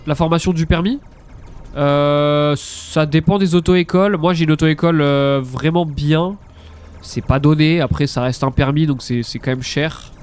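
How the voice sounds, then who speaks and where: Stuffy-nosed young Frenchman, slightly high voice. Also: fairly even intonation, moderate pace, darkish tone, smooth. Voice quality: congested, blocked-nose sound. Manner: hesitant, repeats words, mild swearing. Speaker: game streamer. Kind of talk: casual live stream chat over gameplay.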